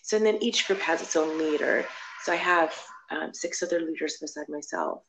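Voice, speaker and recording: A woman's voice: deep voice, normal speed, creaky, a little hiss or hum, low-quality recording. A woman missionary from the United States telling her story in a candid, rambling way, with many fillers and restarts. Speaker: missionary and blogger. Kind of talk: personal testimony in conversation.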